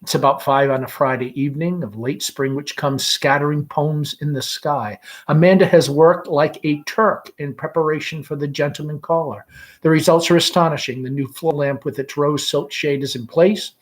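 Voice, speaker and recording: Slightly high-voiced man reading aloud. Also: fairly even intonation, moderate pace. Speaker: reader, principal. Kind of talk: read-aloud of a play, scripted narration.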